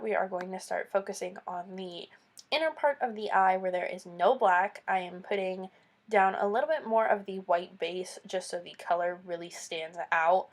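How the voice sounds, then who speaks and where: A young woman with a stuffy, nasal voice from a cold, chatting through a makeup tutorial voice-over as a beauty vlogger. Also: mid-range voice. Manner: chatty, explains each step with casual asides.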